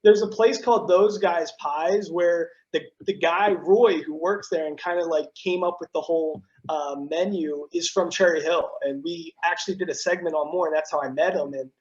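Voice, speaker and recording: Guest in his thirties, high voice, chatty and slangy, muffled video-call sound. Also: normal speed, hardly any pauses. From US East Coast. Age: 30s. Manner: animated storyteller, lots of fillers and slang. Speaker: TV news broadcaster and guest. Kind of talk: casual interview chat.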